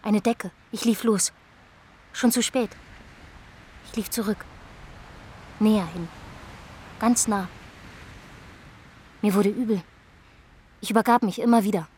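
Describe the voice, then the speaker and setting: A woman's voice: mid-range voice, fairly even intonation, takes it slowly, pauses often, mellow, a little hiss or hum, lightly acted. An actress playing a troubled young woman in a radio drama, telling her story in short, fragmented, matter-of-fact sentences, like a confession. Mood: distressed. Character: troubled young woman recounting her aimless, desperate night drive.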